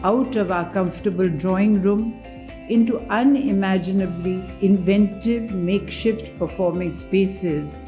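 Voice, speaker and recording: A woman reading a memoir aloud as a dramatic literary reading, with measured, deliberate phrasing. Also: mid-range voice, dark tone, soft background music. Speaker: reader at a book event.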